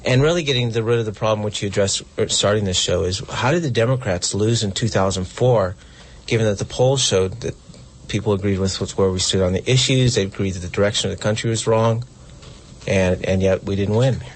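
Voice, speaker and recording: A man explains things steadily and matter-of-factly as a guest author. He has a low voice. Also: brisk, low-quality recording. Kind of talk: conversational interview answers.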